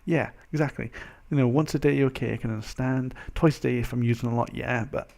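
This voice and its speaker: A young man with a mild Welsh accent, chatting casually as a vlogger in a rambling, animated, self-interrupting way. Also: mid-range voice, fast, vocal fry. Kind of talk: casual chat to camera.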